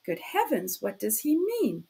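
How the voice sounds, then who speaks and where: A sly police investigator in a storybook, acted out by a woman, with a fairly high voice. He wheedles and fusses, chattering on and repeating himself, mocking one moment and solicitous the next. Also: moderate pace, natural voice. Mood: alarmed, fussing. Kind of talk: audiobook character acting.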